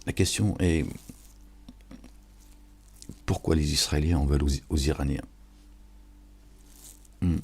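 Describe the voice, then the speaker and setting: A man's voice: extremely low pitch, fairly even intonation, slow, long pauses, a little mellow, breathy edge. A man giving an informal, sermon-like reflection on scripture, preaching and addressing his listeners familiarly with frequent check-in questions. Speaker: online religious and political commentator.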